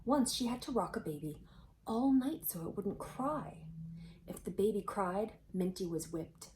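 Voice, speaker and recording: A woman with a mid-range voice reads a storybook aloud for children. Her delivery is expressive, slipping from narration into conversational asides and questions for her young listeners. There is some light noise behind her voice. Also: mid-range voice, unhurried.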